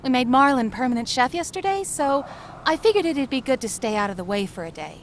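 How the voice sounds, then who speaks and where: High, lilting, flighty hippie mom, played by a woman. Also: expressive, brisk, slight background noise. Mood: breezy, caring. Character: flighty, breezy hippie mother of a teenage son. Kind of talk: scripted comic-dub acting.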